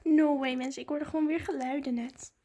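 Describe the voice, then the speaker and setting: A woman, chatting casually and excitedly to viewers as a vlogger. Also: sits high, brisk.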